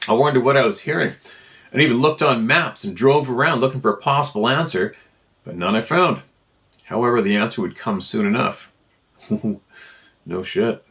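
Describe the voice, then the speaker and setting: A man's voice: medium pitch, normal speed, long pauses, fairly bright tone. A man reading a first-person account aloud in an informal storytelling style. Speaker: narrator reading listener emails.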